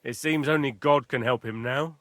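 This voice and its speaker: An actor playing a blunt, impatient castle guard in a video game. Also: male voice, mid-range voice, moderate pace, fairly bright tone, no echo, studio quality, close to an everyday voice. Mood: gruff. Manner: gruff, curt.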